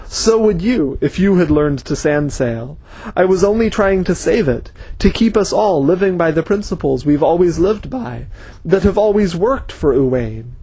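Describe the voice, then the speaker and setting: A cornered old villain, high-pitched and husky, begging for mercy in a breathy, rasping plea, as a man reads him in a storybook narration. His voice rides well above a normal speaking level. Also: mellow, no echo, heavily acted, rough recording. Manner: begging, desperate. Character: pleading old male schemer, cornered villain.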